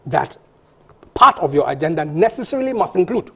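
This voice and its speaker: A man's voice: on the high side, big pitch swings, a little fast, bright, forceful tone, slightly rough. A man, a panel guest arguing insistently in a heated TV debate, often restarting and repeating words.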